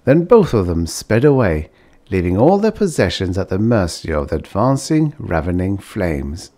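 A man reading vintage fiction aloud as an audiobook narrator, in an expressive, dramatised style. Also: deep voice, moderate pace. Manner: expressive, acts out dialogue.

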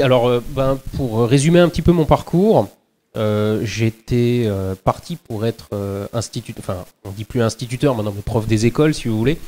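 A man's voice: mid-range voice, darkish tone. Playful man engaging the audience. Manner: playful, addresses the audience directly. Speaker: panel guest, video creator. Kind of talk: casual panel talk. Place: classroom panel at a festival.

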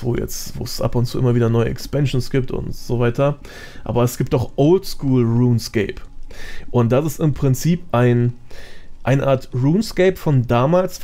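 A man's voice: medium pitch, warm tone. A man giving his opinions as a guest in a casual podcast chat. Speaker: podcast guest, gaming content creator.

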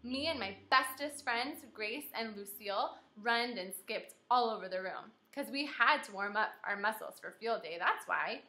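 A woman's voice: a little high, expressive, normal speed, few pauses, bright, forceful tone. A woman voicing an eager, boastful kindergarten girl who tells her own story in an emphatic, pushy way for a storybook read-aloud. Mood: excited, chatty.

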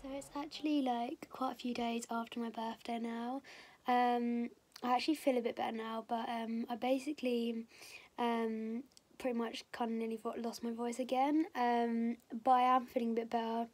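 A woman, chatting casually to a phone camera at home in a husky, strained voice, rambling with lots of fillers. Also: fairly high pitch, level tone, moderate pace, darkish tone. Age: 20s. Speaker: vlogger. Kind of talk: casual vlog chat. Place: indoors at home, bedroom and kitchen. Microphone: phone camera held at arm's length.

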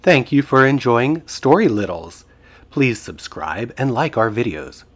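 A man reading a children's picture book aloud as an expressive, husky-voiced narrator. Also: medium pitch, a little slow.

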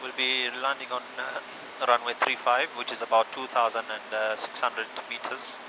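A man, an airline captain, calmly briefing the approach from the cockpit. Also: medium pitch, normal speed. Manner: calm, matter-of-fact. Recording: noisy cockpit.

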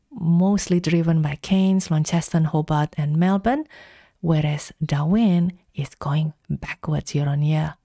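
A woman giving calm, step-by-step instructions in a screen-recorded software tutorial. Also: sits low, no echo, clean studio sound. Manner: calm step-by-step instructions, slow deliberate pacing.

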